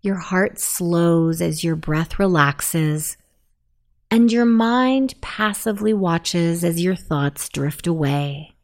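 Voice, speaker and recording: Female sleep meditation narrator, slightly low pitch, very unhurried pacing, soothing and deliberate delivery. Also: lively intonation, a little mellow. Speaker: meditation guide.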